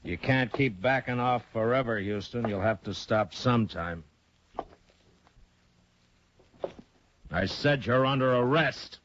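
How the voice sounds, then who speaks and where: Man doing a calm stern western marshal, clipped and quiet, old hissy radio. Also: moderate pace, close to an everyday voice. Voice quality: calm, clipped, low-key authority. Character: steady, stern frontier marshal, grown man. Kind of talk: 1950s radio drama acting. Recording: dull old radio broadcast with hiss.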